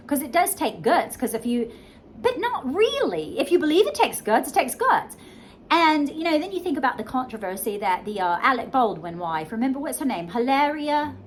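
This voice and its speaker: A woman from the United States with an adopted British accent, speaking in a rambling, emphatic, conversational way as she gives a casual self-help monologue. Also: a little high. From United States, born in Georgia. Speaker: vlogger, self-help coach.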